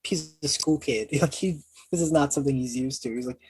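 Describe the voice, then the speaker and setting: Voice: mid-range voice, a little fast, darkish tone, slightly rough, poor audio. A tabletop game player chatting casually and loosely describing what their character does. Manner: loose, describes character's actions in third person. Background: background music.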